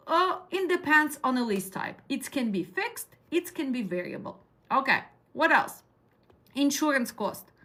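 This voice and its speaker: A woman's voice: fairly high pitch, bright, forceful tone. Direct Ukrainian-accented woman teaching a class. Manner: direct, asks the class questions. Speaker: dispatch trainer. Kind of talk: classroom lecture.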